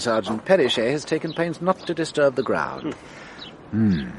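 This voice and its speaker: An actor playing a shrewd French detective inspector in a radio drama, teasing and deliberate in his theatrical delivery. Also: mid-range voice, a little hiss or hum, exaggerated voice. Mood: musing, observant.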